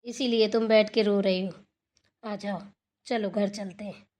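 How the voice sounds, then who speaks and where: A woman voicing a caring, middle-aged village mother-in-law in a family cartoon, coaxing, animated and motherly. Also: medium pitch, measured pace, natural voice. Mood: coaxing, reassuring.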